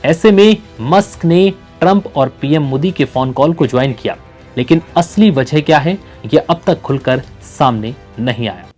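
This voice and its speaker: A man's voice: a little high, lively intonation, moderate pace, a little mellow. A man reading scripted news narration as a presenter. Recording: music bed under the voice. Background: background music.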